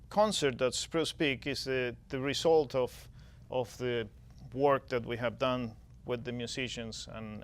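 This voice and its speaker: A man's voice: mid-range voice, slight background noise. A man who directs a music festival, explaining it in a TV studio interview step by step, with frequent restarts.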